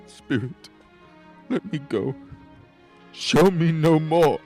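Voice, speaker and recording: A man playing an anguished old miser in a radio play, pleading in a drawn-out, tormented way. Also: on the high side, expressive, warm tone, faint music behind, full character voice.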